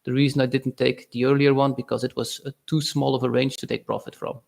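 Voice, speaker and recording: A young man from Belgium talks through his trades on a live stream, reading chat aloud and pausing on fillers. His mid-range voice carries a gravelly, fry-tinged edge. The delivery is loose and conversational. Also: mid-range voice, little pitch movement, a little mellow. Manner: casual, halting, reads chat aloud with fillers. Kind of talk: live-stream trading commentary.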